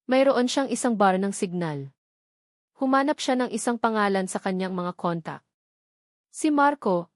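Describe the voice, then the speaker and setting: Female Filipino narrator for scripted romance drama; mid-pitched, very dry recording. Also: a little mellow, no room sound. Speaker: story narrator.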